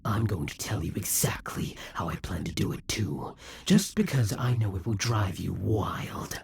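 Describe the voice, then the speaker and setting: A man playing a vengeful, defeated demon king in an audio roleplay, taunting and grandiose, with a husky, breathy voice that often drops to whispers. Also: lowish voice, no echo, full character voice. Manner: grandiose, menacing, deliberate dramatic delivery. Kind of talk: scripted audio roleplay monologue.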